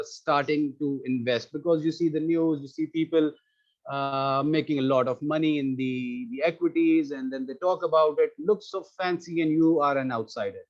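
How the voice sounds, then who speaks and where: A man from Pakistan in his thirties giving an earnest, hesitant webinar talk, full of pauses and "uh"s. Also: fairly high pitch. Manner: hesitant, filler-heavy, earnest. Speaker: webinar speaker. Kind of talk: webinar presentation. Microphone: computer mic on a video call. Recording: muffled online webinar audio.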